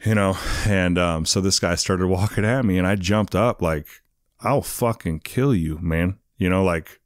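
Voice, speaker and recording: A man with a very deep voice tells his story in a loose, rambling way, padding his sentences with fillers and asides. The sound is dry and close, with no room around it. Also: unhurried, dry recording. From England. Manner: rambling, many fillers, "you know what I mean". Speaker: guest. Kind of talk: casual storytelling interview.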